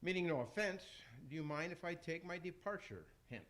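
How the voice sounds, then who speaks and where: A man's voice: on the high side, lively intonation, brisk, a bit bright. A man acting a pompous, scheming Roman emperor from hell in a rhymed radio play, declaiming theatrically. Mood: resigned. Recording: faint noise, amateur radio broadcast.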